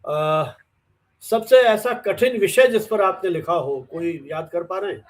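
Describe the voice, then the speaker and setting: A man hosting an interview, asking questions conversationally and deliberately in short segments. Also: high-pitched. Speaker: interviewer, host.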